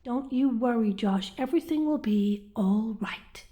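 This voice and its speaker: A kindly school nurse in an audio drama, played by a woman. Her voice sits high and very mellow. She is folksy and soothing, a little flustered, with halting phrases. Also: natural voice. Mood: hesitant, reassuring.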